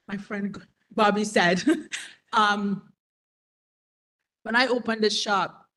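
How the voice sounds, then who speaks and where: A woman's voice: medium pitch, a bit bright. A woman in her forties, a business owner, speaking earnestly and conversationally at a community board meeting. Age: 40s. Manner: earnest, conversational, repeats herself. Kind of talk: public comment at a meeting.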